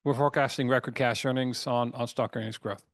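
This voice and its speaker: Male executive, mid-pitched, halting but emphatic business presenter with frequent word repeats, dry recording. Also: fairly even intonation, no echo. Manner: halting, repeats words and restarts often. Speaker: company chairman. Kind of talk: earnings call presentation, semi-scripted business talk.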